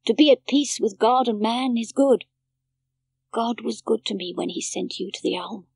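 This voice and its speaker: A woman reading a gentle old grandfather. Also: fairly high pitch, normal speed, gravelly, slightly rough, no room sound. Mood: content.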